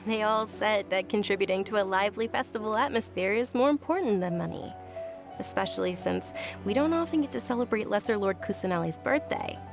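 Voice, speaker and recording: A woman voicing a gentle, gracious young noblewoman in a video game, polite and softly explanatory. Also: medium pitch, expressive, fairly quick, natural voice. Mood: gentle, informative. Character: gentle, gracious young noblewoman, frail yet cheerful and devout. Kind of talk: video game character acting. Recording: music behind the voice.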